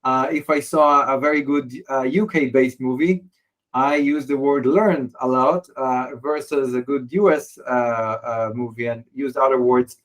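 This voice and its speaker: Man demoing software, halting. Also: medium pitch, fairly even intonation, poor audio. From Israel. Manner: hesitant, many fillers and restarts. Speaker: software presenter. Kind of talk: software demo walkthrough.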